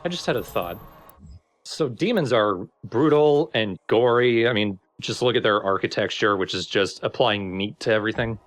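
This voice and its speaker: A man's voice: lowish voice, moderate pace. A man co-commentating on a video game in a casual, joking way. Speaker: let's play co-commentator.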